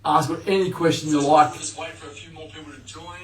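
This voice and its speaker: Big-voiced host in echoey room. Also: male voice, a little high, flat delivery, fast. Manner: loud, big voice, chatty and upbeat. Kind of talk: casual live-stream interview. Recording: echoey room, distant mic.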